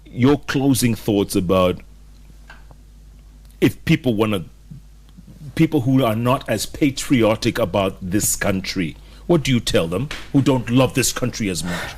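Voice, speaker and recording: A man hosting a casual group interview, prompting guests with quick questions. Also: fairly low pitch, animated. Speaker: interview host.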